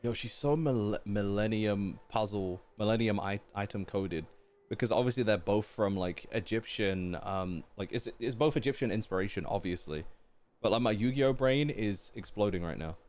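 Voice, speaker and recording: A man's voice: fairly low pitch, normal speed, a little mellow. A man live-streaming a game with casual, slangy reactions and jokey asides. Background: faint game music.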